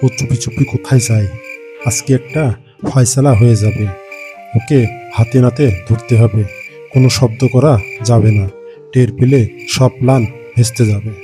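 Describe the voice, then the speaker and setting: A man's voice: mid-range voice, steady tone, moderate pace, pauses often, music in the background, natural voice. A man playing a troubled village farmer in a cartoon, earnest and defensive about his pet fox. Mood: wary, determined. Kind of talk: cartoon character acting.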